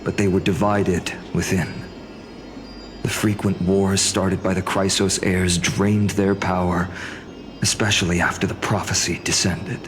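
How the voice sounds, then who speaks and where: A man voicing a thoughtful, scholarly young adventurer in a video game cutscene, speaking in a measured, formal, explanatory way. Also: low voice, flat delivery. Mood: informative. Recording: prominent background music under the voice.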